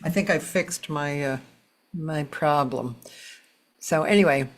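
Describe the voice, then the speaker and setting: A woman hosting a casual online chat, unhurried and chatty, laughing easily. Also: sits low. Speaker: host.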